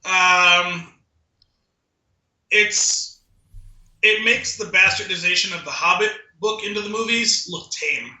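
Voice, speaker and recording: Guy w a high really sharp bright voice chatting on a podcast, echoey room. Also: slow pace, long pauses. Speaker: podcast co-host. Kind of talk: casual chat, giving opinions.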